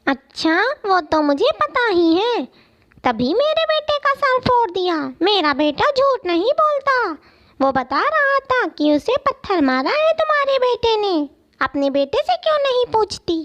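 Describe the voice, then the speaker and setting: Woman acting as a vengeful mother crow, children's cartoon, high pitch, accusing, slow deliberate delivery. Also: very animated, fairly bright tone, lo-fi sound, full character voice. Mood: indignant, accusing. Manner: indignant, accusing, scolding. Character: angry, vengeful mother crow, quarrelsome and threatening.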